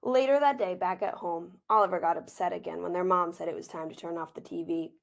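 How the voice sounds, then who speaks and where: A woman reading a children's picture book aloud as author and teacher, gentle and expressive, with informal asides. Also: on the low side, a little fast, vocal fry.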